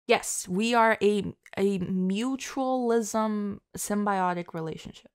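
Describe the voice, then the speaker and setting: A woman talking casually and from the heart to her listeners, halting and self-deprecating, in an informal way. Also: medium pitch, clean tone, no echo, clean studio sound. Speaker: audio roleplay and ASMR creator.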